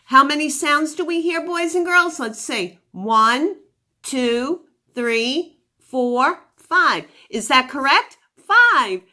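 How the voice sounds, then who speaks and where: A woman's voice: high-pitched, lively intonation, slow pace, bright, forceful tone. A woman teacher giving a phonics lesson to young children, encouraging them with praise and questions and sounding out words with exaggerated articulation.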